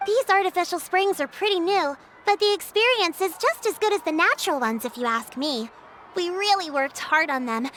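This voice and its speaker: Very high, girlish young female chief. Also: a bit bright, slight background noise. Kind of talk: English video game voice acting.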